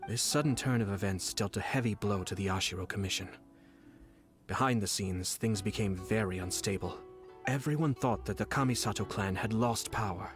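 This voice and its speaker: A man's voice: fairly low pitch, faint music behind, natural voice. A man playing a cool, composed noble commissioner. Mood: solemn. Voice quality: smooth, measured, courteous. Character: composed noble male commissioner, calm and quietly menacing. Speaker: clan commissioner. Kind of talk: game voice acting.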